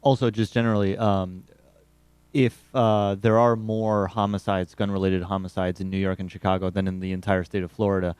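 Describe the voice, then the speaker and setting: A clean, smooth male voice, on the low side. He reasons analytically as a commentator, recorded dry and close. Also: little pitch movement, fairly quick, a little mellow, no room sound. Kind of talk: analytical discussion.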